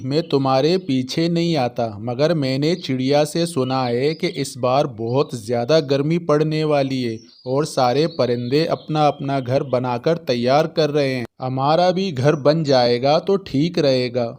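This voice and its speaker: Slow-talking henpecked cartoon crow husband played by a man. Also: medium pitch, a little mellow, natural voice. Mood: hesitant, deferential.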